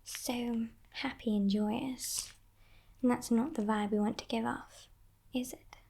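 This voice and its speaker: Woman playing a breathy, whispering demoness. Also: a little high, steady tone, heavily acted. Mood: breezy, condescending. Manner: teasing, condescending, softly sinister, unhurried. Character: playful, menacing female demon who kidnaps humans. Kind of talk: whispered ASMR roleplay.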